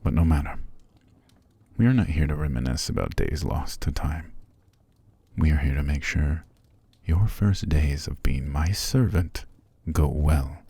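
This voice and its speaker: A man playing a possessive, seductive vampire master in an ASMR roleplay, calm and commanding, slow and formal, often sinking to a gravelly whisper. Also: extremely low pitch, dry recording, heavily acted. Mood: commanding, reassuring. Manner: slow, formal, soothing but controlling.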